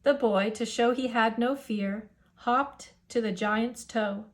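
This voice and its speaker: Woman storyteller, high voice, unhurried pace, rhythmic rhyming picture-book narration. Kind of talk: children's picture book read-aloud narration.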